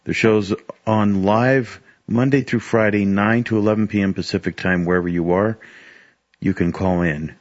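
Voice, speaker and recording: A man's voice: fairly low pitch, steady tone, moderate pace. A man, a radio co-host, giving the call-in number and announcing a break in an easygoing way. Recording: dull lo-fi radio broadcast.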